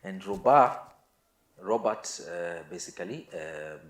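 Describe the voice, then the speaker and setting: A man with a Kenyan accent and a fairly low voice tells his story in a casual, conversational way. He starts and restarts phrases and mixes in some Swahili. The sound is clearly roomy and echoing. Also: lively intonation. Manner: halting, repetitive, mixes in Swahili words. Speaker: musician, interview guest.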